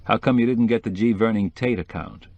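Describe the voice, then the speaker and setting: Man playing a blunt, low-voiced newspaper reporter. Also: fairly quick, no echo, narrow, tinny audio, natural voice. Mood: probing. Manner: short direct questions. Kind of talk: acted audiobook dialogue.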